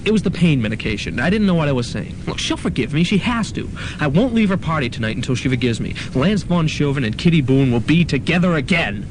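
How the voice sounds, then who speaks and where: Lovesick college boy, male actor, lively intonation, self-deluded, noisy student radio comedy recording. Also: a little high, quick pace. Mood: defensive, determined.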